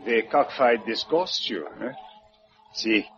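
A man's voice: mid-range voice, lively intonation, slow, music in the background. An actor playing an insinuating, courtly Cuban man in a 1950s radio drama. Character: insinuating, courtly Cuban local addressing tourists. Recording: dull 1950s radio recording.

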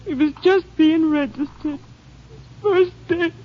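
A heartbroken young mother, crying and dazed with grief. Her voice is high and trembling through the tears. It comes through the dull, thin sound of an old radio recording. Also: takes it slowly. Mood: grieving. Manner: tearful, dazed and broken. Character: grieving young mother who lost her son. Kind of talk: 1940s radio acting.

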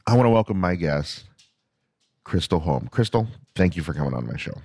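A man hosting a podcast interview, reading a scripted opening and then chatting casually in an animated way with quick tangents. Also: low-pitched, vocal fry, breathy edge, no echo. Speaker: podcast host.

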